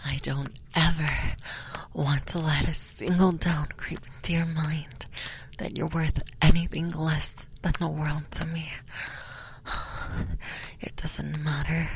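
Whispering woman, low and gravelly. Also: poor audio. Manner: soft breathy whispering, slow and intimate. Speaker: audio roleplay performer. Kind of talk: whispered intimate audio roleplay. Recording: steady background hiss.

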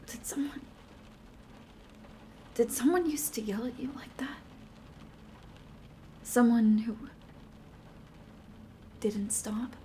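A woman's voice: fairly high pitch, steady tone, slow, long pauses, a little mellow, slight background noise. A woman playing a young girlfriend with a temper in a listener-directed audio roleplay, speaking emotionally and earnestly straight to the listener. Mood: soft apologetic.

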